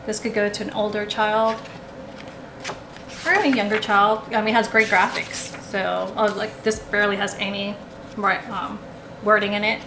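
Woman rambling thru a haul at her table, lots of ums, roomy echo and steady noise behind. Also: medium pitch, moderate pace. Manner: rambling, many ums and restarts. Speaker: haul vlogger. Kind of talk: casual show-and-tell haul. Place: indoors at a table, camera over the table. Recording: echoey room with background noise.

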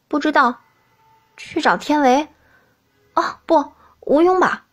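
Lady doing a feisty young princess in an audiobook, naive, expressive, kinda high voice. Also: slow. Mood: sulky, musing. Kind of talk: audiobook character acting.

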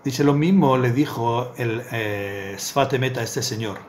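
A man's voice: mid-range voice, unhurried, a little mellow, slight background noise. A middle-aged man, a rabbi, giving a Torah lesson in a small study. He tells a parable earnestly and repeats words for emphasis, recorded on a desk webcam. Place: small indoor study with bookshelves.

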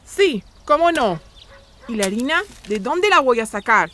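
A woman's voice: on the high side, big pitch swings, unhurried, a bit bright, slight background noise. A woman playing a fond, fussing old peasant grandmother in a children's cartoon dub, exclamatory and sing-song. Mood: exasperated.

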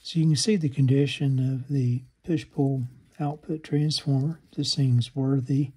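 A man narrating a hands-on repair demonstration, haltingly thinking aloud as he works at a bench indoors. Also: medium pitch, steady tone, warm tone, husky. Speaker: host of a radio repair channel.

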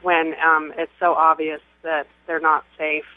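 A woman phoning in to talk radio with a question, halting and searching for words over a telephone. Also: low voice, flat delivery, no room sound. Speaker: call-in listener. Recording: narrow, tinny phone line.

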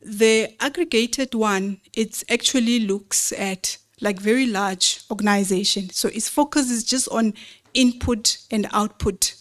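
A woman's voice: fairly high pitch, a little slow. Woman explaining slides. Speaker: presenter at a government convention.

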